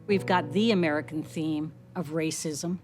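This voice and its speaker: A woman's voice: sits low, steady tone, normal speed. A woman giving emphatic, admiring literary commentary in a documentary interview. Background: musical score with guitar.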